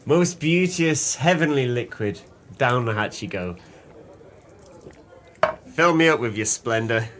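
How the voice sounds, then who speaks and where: An actor playing a jovial, tipsy, hard-up former sailor in an audio play, talking in hearty, flowery, boastful phrases. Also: male voice, mid-range voice, expressive, takes it slowly, long pauses, slight background noise. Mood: merry, grandiose.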